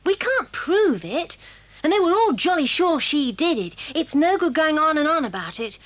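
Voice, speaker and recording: A woman's voice: high-pitched, sing-song, normal speed, fairly bright tone. An actress with an English accent playing an earnest, fair-minded schoolgirl in a children's audio drama. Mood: earnest, reluctant.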